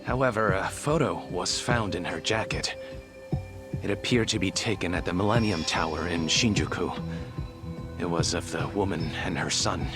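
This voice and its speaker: A man acts as a middle-aged doctor in a video game drama, speaking in a low register. He is formal and courteous but hesitant, as if guarding something. Music plays behind him. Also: moderate pace, natural voice. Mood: measured, explanatory. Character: evasive middle-aged male doctor, courteous, measured, cornered.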